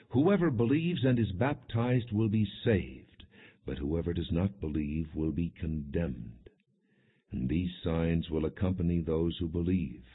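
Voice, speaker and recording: A man with a very low, husky voice reads scripture as a scripted audiobook narration. His delivery is solemn and measured, with expression in quoted speech. The recording is muffled and thin, like a phone line. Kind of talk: scripted scripture narration, non-dramatized reading. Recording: dull, phone-like narrow-band audio.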